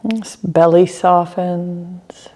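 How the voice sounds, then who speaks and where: A woman teaching a guided meditation, speaking gently in short phrases with long pauses between them. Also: fairly low pitch, little pitch movement.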